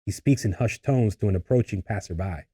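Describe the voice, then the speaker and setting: A man's voice: lowish voice, fairly quick, dark tone, husky. A man reading stage directions as scripted narration for a table read.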